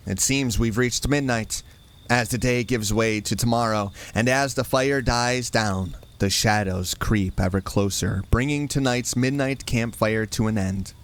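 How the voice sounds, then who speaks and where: A man hosting with a scripted, atmospheric campfire horror sign-off in a measured storyteller manner. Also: lowish voice. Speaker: podcast host.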